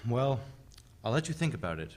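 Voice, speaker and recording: A man's voice: medium pitch, sing-song, fairly quick, darkish tone, lightly acted. A man playing a coldly polite colonial government envoy in a dramatic play reading, formal and condescending, with hesitant phrasing. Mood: dismissive, insistent.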